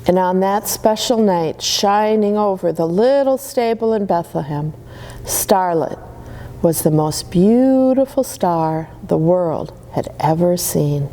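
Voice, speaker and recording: A woman reading Christmas stories aloud to children in a gentle, soothing way. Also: fairly low pitch, animated, slow. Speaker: storyteller.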